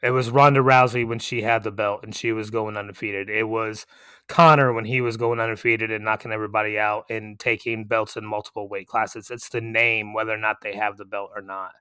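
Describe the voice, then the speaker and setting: A man co-hosting a sports chat podcast in a smooth, clear voice. He answers in a steady, plainspoken way and goes along with the others. The sound is close and dry. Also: on the low side, no echo. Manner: agreeable, measured, plainspoken answers. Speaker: podcast co-host.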